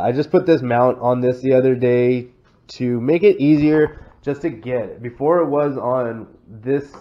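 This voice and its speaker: A Texan man with a stuffy head cold, casually walking through his kayak setup with lots of fillers and restarts. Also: mid-range voice. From Texas. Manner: rambling, many "uh" fillers and restarts. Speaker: kayak vlogger. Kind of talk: casual show-and-tell walkthrough. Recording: slightly dull sound with faint noise.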